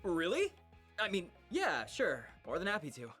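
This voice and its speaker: A man's voice: high voice, wildly swooping pitch, normal speed, pushed and bright, exaggerated voice. A man voicing an eager, goofy middle-school boy in a visual novel, with music behind him. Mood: earnest, nervous.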